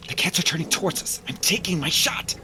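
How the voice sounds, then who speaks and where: A man's voice: a little high, expressive, brisk, background noise, close to an everyday voice. Brash hunter with wildly swinging voice male actor. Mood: impatient. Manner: blunt, swaggering, sardonic. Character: brash, cocky, hot-tempered male trophy hunter. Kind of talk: audio drama acting.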